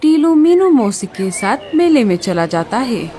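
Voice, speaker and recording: A woman's voice: fairly high pitch, sing-song, measured pace, dark tone, a faint crowd, a little hiss or hum. A woman narrating a scripted children's story.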